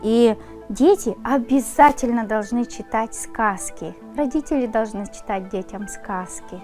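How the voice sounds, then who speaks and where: A woman's voice: fairly high pitch, unhurried, music in the background. A woman giving her opinion in an unscripted interview.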